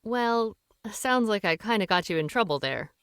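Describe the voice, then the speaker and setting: A woman playing a calm, shrewd, wry woman asking questions, in an amateur radio play. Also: mid-range voice, normal speed, clean tone, no echo, clean studio sound, natural voice. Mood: dry.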